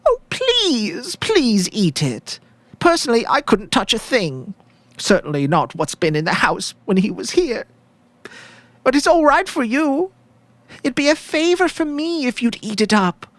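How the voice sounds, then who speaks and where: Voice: mid-range voice, sing-song, a little slow, a bit bright, dry recording, pitched above the performer's own voice. A performer voicing a tearful widow who speaks politely and pleadingly, with a false, coaxing sweetness, in a story reading.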